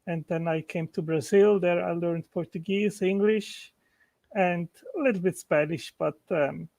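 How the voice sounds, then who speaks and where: A man from Switzerland with Italian and Swiss German as his first languages, hosting a casual interview and pausing often with fillers. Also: high voice, fairly even intonation, gravelly, darkish tone, no echo. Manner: hesitant, many fillers. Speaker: host.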